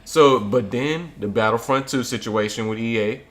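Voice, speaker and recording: A man's voice: mid-range voice, normal speed. A man in a casual gaming chat who gushes and rambles enthusiastically about games he loves.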